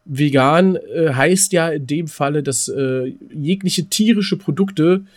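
A man's voice: on the high side, slow. German man chatting as podcast co-host. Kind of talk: casual podcast banter.

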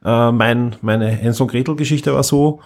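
A man chatting as a podcast co-host, explaining things in halting, repeated starts. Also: medium pitch, darkish tone. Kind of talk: casual chat.

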